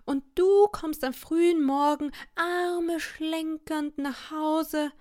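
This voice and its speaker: A woman voicing a poor young wife who scolds and laments in a high, shrill voice, read as part of an audiobook. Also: fairly even intonation, slow, a little mellow. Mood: scolding. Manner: shrill, indignant, self-pitying laments. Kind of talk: audiobook reading of a classic tale.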